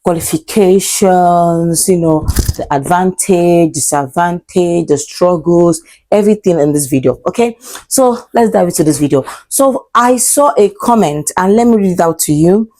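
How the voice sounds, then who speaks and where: A woman's voice: fairly low pitch, normal speed, dark tone. A woman in her twenties with a Nigerian accent, vlogging casually and rambling with fillers and self-corrections. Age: 20s. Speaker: vlogger and English teacher. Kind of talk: casual vlog talk to camera.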